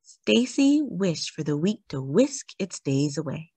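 A woman reading a children's picture book aloud as a storyteller, with deliberate, dramatic emphasis on key words. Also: low-pitched, big pitch swings, warm tone, slightly rough, no room sound. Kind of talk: expressive read-aloud narration for children.

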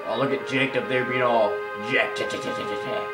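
A man's voice: medium pitch, steady tone, brisk. A young man giving casual, goofy game playthrough commentary, recorded on a camera in a room in front of a TV. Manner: goofy, makes nonsense sounds and sing-song. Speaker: gaming video host. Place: indoor room in front of a television. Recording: roomy, picked up near a TV playing music. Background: game music from the TV.

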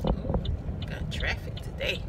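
A middle-aged woman, chatting away in a casual car vlog, sassy and full of exclamations. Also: measured pace. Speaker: vlogger. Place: inside a car. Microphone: phone camera on the dashboard. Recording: far from the phone mic, loud car noise.

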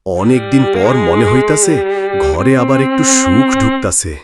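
A man's voice: medium pitch, huge pitch swings, measured pace, over loud music. A man playing a friendly, wistful village fisherman in a cartoon, with a rural Bangladeshi Bengali accent. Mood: hopeful. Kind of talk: cartoon fairytale voice acting.

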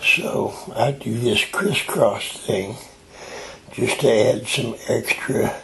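An older man with a raspy, deeply gravelly voice walks through a craft technique as he does it. He talks in an unhurried way, explaining each step while he works. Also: sharp tone, poor audio. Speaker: tie-dye hobbyist demonstrating. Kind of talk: slow hands-on craft demonstration.

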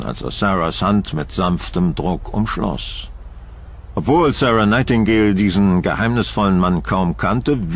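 Man acting a brooding gothic storyteller, slow delivery, dramatic, horror audio drama narration, faint music behind. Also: lowish voice.

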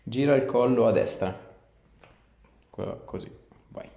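A man's voice: medium pitch, measured pace, gravelly, warm tone, highs cut off. A man in his thirties, a chiropractor giving short, informal instructions and questions during an exam. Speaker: chiropractor examining a patient. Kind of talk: casual clinical exam chat with instructions. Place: small indoor clinic room. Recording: echoey small treatment room.

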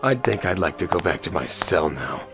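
A man's voice: sits low, very animated, moderate pace, over music. A man playing a stubborn, sardonic captive Jedi who talks in a challenging way, in a fan audio drama. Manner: challenging, sarcastic.

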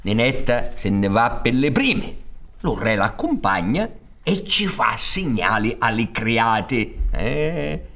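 Slow, expressive older Sicilian storyteller man. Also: mid-range voice. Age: 60s. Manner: expressive, chuckling, conspiratorial asides. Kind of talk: theatrical fairy-tale narration in Sicilian dialect style. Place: theatre stage. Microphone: studio microphone on stand.

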